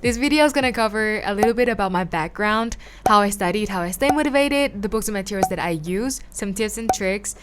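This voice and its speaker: A woman's voice: mid-range voice, expressive, fairly quick, a little hiss or hum. A young woman from Thailand, a native Thai speaker, giving casual study tips in a chatty, informal way with frequent "like" and "um". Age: young adult. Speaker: vlogger.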